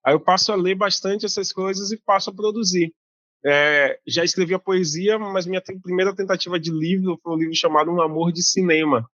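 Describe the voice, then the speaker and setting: A man's voice: sits high, steady tone, brisk, fairly bright tone, dry recording, lo-fi sound. A man from Bahia, a guest author talking casually in an interview, with many fillers and restarts. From Bahia, Brazil.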